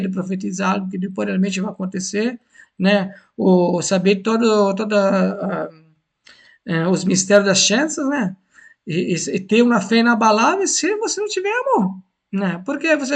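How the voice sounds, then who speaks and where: Italian guy doing a bible talk, high voice w lots of creak, halting, keeps checking in. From Italy. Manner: halting, repetitive, frequent tag questions seeking agreement. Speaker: Bible teacher. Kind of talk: informal Bible teaching to camera.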